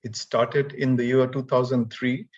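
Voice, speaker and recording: A man's voice: mid-range voice, level tone, a little slow. A man from India sharing an example in an online meeting, explaining earnestly with some fillers over a video call. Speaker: workshop participant. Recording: muffled video call audio.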